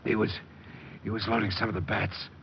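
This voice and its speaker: An actor playing a cornered crime boss, frightened, choked and stammering, in an old-time radio detective drama. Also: male voice, level tone, brisk, creaky. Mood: terrified, choked. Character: cornered, terrified crime boss pleading for his life. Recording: dull old radio recording with rumbling noise.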